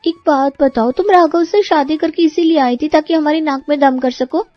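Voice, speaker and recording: A woman's voice: high-pitched, normal speed, no room sound, lo-fi sound, pitched above the performer's own voice, close to an everyday voice. A woman voicing a vain, indignant young sister-in-law in a cartoon story, huffy and defiant. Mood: aggrieved.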